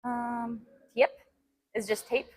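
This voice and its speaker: A woman's voice: fairly high pitch, flat delivery, takes it slowly, darkish tone, low-quality recording. Laughing woman streaming from a gym. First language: Albanian. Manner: laughs often, reads chat aloud between sets. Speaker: fitness streamer. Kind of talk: casual live-stream chat while working out.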